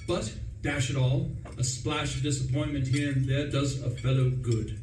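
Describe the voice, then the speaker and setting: A man acting a flustered, breezy young upper-class English gentleman who narrates a radio play in pompous, chatty old-fashioned slang. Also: medium pitch, steady tone, normal speed, close to an everyday voice. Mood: breezy, self-satisfied. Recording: roomy, distant stage recording with faint noise.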